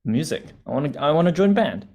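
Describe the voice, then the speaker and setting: A woman's voice: deep voice, big pitch swings, brisk, a little mellow, husky, full character voice. A woman reading the part of an awkward, joking teenage boy at a table read, talking hesitantly with stumbles. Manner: hesitant, stumbling, self-deprecating.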